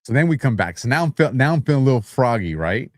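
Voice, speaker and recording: A man's voice: medium pitch, big pitch swings, fast, no echo, clean studio sound. A man co-hosting a podcast in casual chat, rambling through a story in a self-deprecating way. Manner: rambling, self-deprecating, frequent restarts and laughs. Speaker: podcast co-host.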